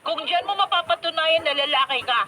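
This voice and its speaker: High-pitched, scolding Filipino young wife. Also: female voice, fairly quick, sharp tone, distant mic. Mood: angry, defiant. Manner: nagging, emphatic, exclamatory. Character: fed-up, scolding working young mother and wife. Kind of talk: radio drama acting. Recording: very noisy radio drama broadcast.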